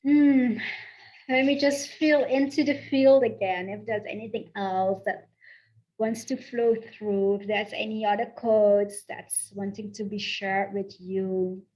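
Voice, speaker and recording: A woman from the south of the Netherlands with a Dutch accent, speaking slowly and softly as a spiritual coach in a channeled guided talk, repeating her affirmations. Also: medium pitch, fairly even intonation, muffled recording. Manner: slow, soft, affirming, repeats phrases.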